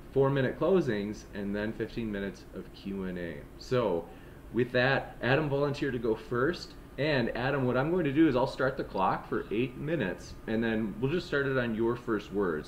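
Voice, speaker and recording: Midwestern man, debate moderator, casual and upbeat, mid-range voice, faint background noise. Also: mid-range voice. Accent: Midwestern American. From Wisconsin, USA. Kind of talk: hosting an online debate.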